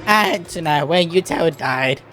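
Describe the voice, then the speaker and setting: A woman's voice: low-pitched, expressive, a little slow. A woman giving casual, playful gameplay commentary with joking asides. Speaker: streamer playing a game. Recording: game music behind the voice.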